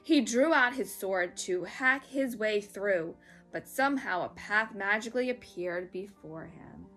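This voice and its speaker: A woman storyteller reading a children's story aloud in a dramatic, expressive way. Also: medium pitch, a little slow, soft background music.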